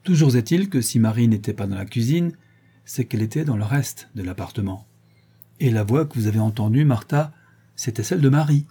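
Stern cold lady grilling suspects, guy doing her in a dark husky voice, storybook reading. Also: mid-range voice, expressive, a little fast. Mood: probing. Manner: cold, probing, relentlessly accusing. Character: stern sharp-witted middle-aged woman investigator.

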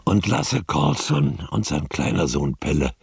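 A man narrating a radio drama as a solemn storyteller, with a gravelly voice and music behind him. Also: deep voice, very animated, a little slow, heavy background noise, full character voice. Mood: solemn storytelling.

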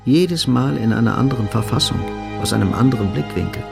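Male narrator, gravelly creak, medium pitch, scripted literary radio-drama narration, faint music. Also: expressive, fairly quick.

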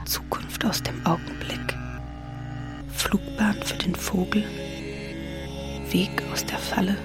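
An actress playing a dreamlike inner voice in a radio drama, reciting poetic fragments in a measured, incantatory manner. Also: female voice, medium pitch, fairly even intonation, over music, natural voice. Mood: hushed, incantatory.

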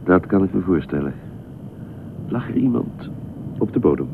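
A man's voice: sits low, expressive, moderate pace. A man in a radio play acting a reserved, sceptical man who answers a stranger in a terse, matter-of-fact way. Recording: tinny band-limited radio play, clear noise.

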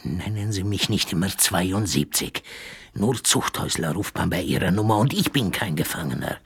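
An actor in a German radio drama playing a fat, scheming Russian correspondent and spy, evasive and dismissive. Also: male voice, deep voice, lively intonation, moderate pace, gravelly, fairly bright tone, breathy edge, dry recording, exaggerated voice. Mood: mocking, indignant.

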